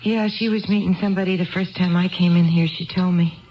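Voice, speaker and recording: A woman's voice: fairly low pitch, fairly even intonation, brisk, close to an everyday voice. An actress playing an anxious, indecisive married woman in a 1970s radio drama, hesitant and rambling as she talks. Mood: confiding, chatty. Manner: hesitant, rambling, self-doubting. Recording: dull, band-limited old radio broadcast.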